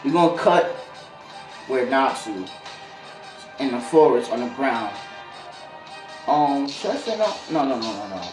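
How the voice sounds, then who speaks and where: A man's voice: medium pitch, lively intonation, unhurried, long pauses. Guy telling fan fiction off the cuff, slangy and rambly, roomy echo with a beat behind him. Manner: slangy, rambling with frequent tangents. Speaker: video host. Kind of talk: casual improvised fan fiction storytelling. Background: music beat.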